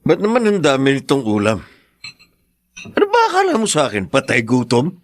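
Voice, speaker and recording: A middle-aged father fresh from prison, guilt-ridden and short-tempered. His mid-pitched voice moves widely as he broods or scolds, in the heightened style of radio drama. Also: wildly swooping pitch, fairly quick, slight background noise. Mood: gruff, irritated.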